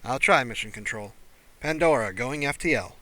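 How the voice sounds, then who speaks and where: A man's voice: medium pitch, lively intonation, moderate pace, bright, forceful tone, rough recording. A man voicing a businesslike female test pilot in a science fiction story, clipped and procedural with dry playfulness. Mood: focused.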